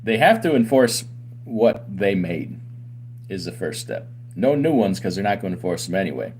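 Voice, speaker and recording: A man's voice: sits low, sing-song, normal speed, a little mellow, slight background noise. A man who runs a trucking company gives an argumentative, opinionated talk to his audience, with many rhetorical questions. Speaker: owner of a trucking company and channel host. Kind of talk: opinionated commentary to camera.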